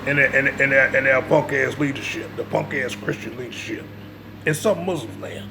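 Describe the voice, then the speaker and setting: A man with a gravelly voice giving an informal religious lecture, part reading and part commentary, emphatic and repeating his phrases to press the point. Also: mid-range voice, moderate pace, noisy background. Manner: emphatic, repeats phrases, checks listener's understanding. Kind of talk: informal religious lecture mixing read-aloud passages and commentary.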